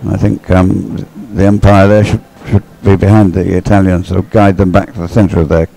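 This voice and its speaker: A man giving conversational live sports commentary as an expert analyst, explaining haltingly with repeated words. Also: low-pitched, flat delivery, gravelly, warm tone, low-quality recording.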